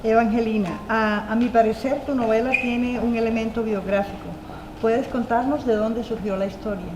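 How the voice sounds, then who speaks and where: A woman's voice: on the high side, steady tone, normal speed, noisy background. A woman moderating a book panel, brief and courteous as she poses questions. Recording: echoey room with music behind.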